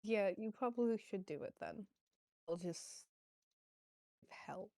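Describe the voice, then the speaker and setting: Woman, medium pitch, unhurried pace, casual player talk at a tabletop game. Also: lively intonation, darkish tone, no room sound, clean studio sound. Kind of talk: casual chat during a game.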